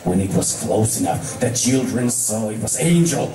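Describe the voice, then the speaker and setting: A man's voice: medium pitch, expressive, normal speed, music in the background. A man reading a story aloud as an amateur, stumbling over words, in a roomy recording away from the microphone. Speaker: fan fiction reader. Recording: roomy echo, distant from microphone.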